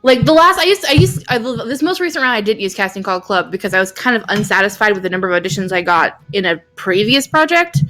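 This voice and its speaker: A woman's voice: medium pitch, big pitch swings, fast, few pauses, fairly bright tone, faint music behind. A woman co-hosting a casual livestream, talking in an exclamatory, repetitive way with some swearing. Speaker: stream co-host.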